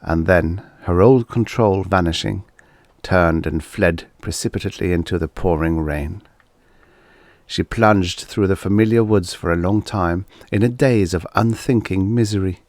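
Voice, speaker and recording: A man narrating a vintage science fiction story as an audiobook reader, in a dramatic, expressive storytelling manner. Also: low voice, measured pace, no room sound.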